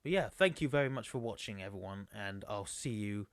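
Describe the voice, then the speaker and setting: A man's voice: on the low side, animated, brisk, no echo, studio quality. A man commentating a sim racing league on a live stream, chatty and rambling, losing his voice. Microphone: desktop microphone.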